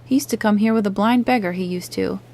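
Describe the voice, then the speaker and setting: A woman voicing a hearty one-legged male pirate innkeeper who blusters loudly in sailor slang, read as an audiobook character. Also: mid-range voice, fast talker, close to an everyday voice. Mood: excited.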